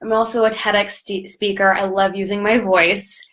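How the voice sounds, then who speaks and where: A woman with a slightly raspy voice from a recent cold, hosting a live coaching stream in an upbeat, chatty way. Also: mid-range voice, flat delivery, moderate pace, a bit bright. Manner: upbeat, chatty, greets viewers by name. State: recovering from a cold. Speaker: podcast host and business coach.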